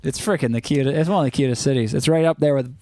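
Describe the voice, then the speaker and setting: A man's voice: medium pitch, very fast, darkish tone, dry recording. Casual chatty man co-hosting a stream. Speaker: live stream co-host. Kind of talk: casual chat.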